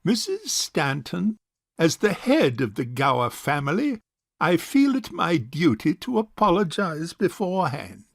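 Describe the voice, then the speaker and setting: A man's voice: a little high, sing-song, measured pace, creaky, no echo, studio quality, heavily acted. An actor playing a suave, sarcastic bachelor uncle in his forties in an audio farce, speaking in a pompous, formal way. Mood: icily formal. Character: suave, sarcastic forty-two-year-old rich bachelor uncle. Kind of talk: stage farce audio drama acting.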